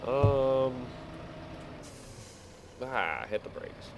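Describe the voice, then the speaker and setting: A man rambles through a casual story as he plays a game, in an unhurried, mid-pitched voice. He stops and starts and loses his thread easily. A vehicle engine can be heard running behind him. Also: lively intonation, lo-fi sound. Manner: halting, easily distracted. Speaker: gamer telling stories over gameplay. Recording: clear background vehicle engine noise. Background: game vehicle engine sounds.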